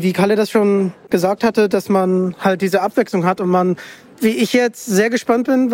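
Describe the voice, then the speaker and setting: A man's voice: sits high, a little mellow. A man hosting a casual interview in an enthusiastic manner. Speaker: podcast host, interviewer. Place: outdoors at a book fair.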